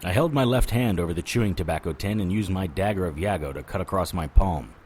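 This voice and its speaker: A low male voice from an actor as a world-weary paranormal detective in a noir audio drama. He is wisecracking and bossy under pressure, with faint ambient noise behind him. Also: quick pace, a little mellow, lightly acted. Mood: grim narration. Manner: wisecracking, sardonic. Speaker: lead actor and first-person narrator. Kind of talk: noir-style audio drama narration and dialogue.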